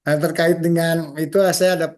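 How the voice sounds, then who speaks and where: A hoarse man, chair of an association, speaking hesitantly with many fillers on a video call. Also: fairly high pitch, little pitch movement, moderate pace.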